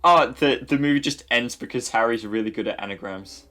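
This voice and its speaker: A man giving sarcastic, animated joking commentary over a film. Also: mid-range voice, fairly quick. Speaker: comedy riffer.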